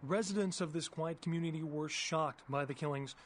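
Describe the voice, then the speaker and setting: A man acts out a formal news anchor in a video game. The voice sits a little high and announces in a measured way, over faint noise. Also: lightly acted. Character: formal male TV news anchor reading bulletins.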